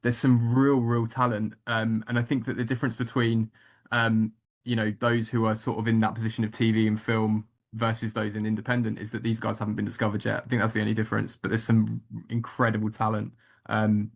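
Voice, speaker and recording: A man's voice: fairly low pitch, completely flat delivery, a little fast, gravelly. A male actor answering interview questions casually, hesitant with many ums and restarts.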